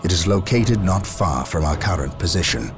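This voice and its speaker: Very deep, stern space marine commander. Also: male voice, animated, moderate pace, gravelly, over music. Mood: brooding, grave. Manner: grave, formal, commanding. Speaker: military commander. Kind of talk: dramatic game cutscene monologue and orders.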